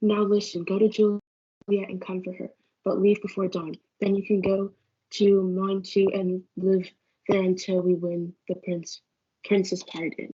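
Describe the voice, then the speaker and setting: A firm, steadying friar read by a woman in a stage play. The delivery is even and mellow with a slightly husky edge, on a muffled recording. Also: medium pitch, a little slow. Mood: firm, calming. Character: Friar Lawrence, steadying male clergyman, firm counsellor. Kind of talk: student readers theatre performance.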